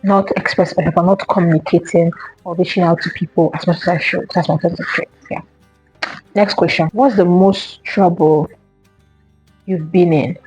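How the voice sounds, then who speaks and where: Husky young woman chatting to camera. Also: on the low side, steady tone, moderate pace, a little mellow, no echo, faint music behind, low-quality recording. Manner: rambling, repeats herself, many fillers. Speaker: vlogger. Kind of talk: casual solo chat answering questions.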